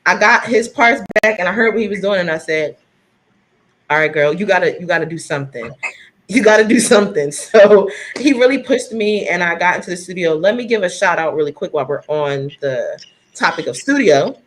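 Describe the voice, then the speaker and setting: A soft-voiced woman singer from New Jersey, chatting casually on a live video stream, laughing often and talking straight to her viewers. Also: on the low side, animated, a little fast, slight background noise.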